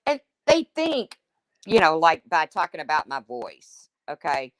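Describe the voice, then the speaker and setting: A woman with a deep, husky smoker's voice hosting a livestream, reading chat comments and answering them in a blunt, chatty way. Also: wildly swooping pitch, measured pace, no room sound, low-quality recording. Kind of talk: casual chat with viewers.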